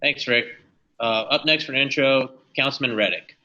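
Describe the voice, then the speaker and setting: A male moderator with a very bright, sharp voice moves things along with brief introductions. He sounds dull and a bit far from the mic. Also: mid-range voice, moderate pace. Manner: brief, procedural.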